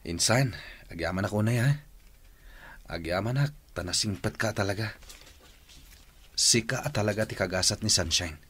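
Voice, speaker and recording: A man's voice: on the low side, normal speed, long pauses, a bit bright, husky. An actor playing a hearty, affectionate family man in a radio drama, with an effusive, exclaiming delivery. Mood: friendly, humble. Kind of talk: Filipino radio drama acting.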